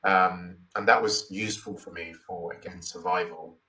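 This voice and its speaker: Deep, bright-toned Nigerian man, slightly distant. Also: steady tone, moderate pace. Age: 40s. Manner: thoughtful, repeats phrases, many "you know, like" fillers. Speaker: guest. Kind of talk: reflective interview answers.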